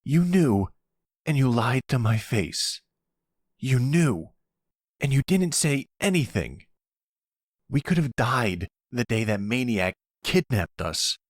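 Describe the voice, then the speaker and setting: Here is a man acting as a hot-headed young son in an audio roleplay. He talks in blunt slang, exasperated but loyal to his family. His voice is pitched up, with a dry, close sound. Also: big pitch swings, takes it slowly, no room sound. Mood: hurt, angry. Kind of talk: ASMR audio roleplay drama.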